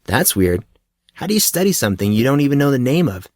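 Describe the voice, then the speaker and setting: A man's voice: medium pitch, big pitch swings, fast talker, no room sound, clean studio sound, pitched above the performer's own voice, lightly acted. A man voicing a puzzled, guarded teenage boy who keeps asking questions and steers away from topics. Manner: questioning, evasive.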